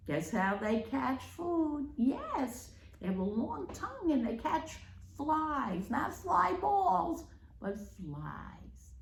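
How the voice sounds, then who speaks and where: Casting: woman, children's storyteller, highish voice, lively swooping pitch, teacherly, roomy echo. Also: gravelly, slight background noise. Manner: teacherly, asks questions and pauses for answers. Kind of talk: read-aloud storytime for children.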